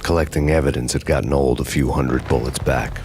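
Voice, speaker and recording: A hard-boiled cop on the run, with an extremely low voice. He narrates a noir game, weary, grim and deadpan, over prominent background music. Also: brisk. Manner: deadpan, terse.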